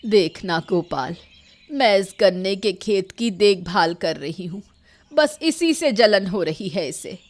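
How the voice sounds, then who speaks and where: A woman voicing a kindly, elderly village woman in a cartoon story, talking in a gentle, affectionate way. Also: medium pitch, lively intonation, takes it slowly. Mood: aggrieved, gentle. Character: kindly elderly village woman tending her cane field. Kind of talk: Hindi cartoon story voice acting.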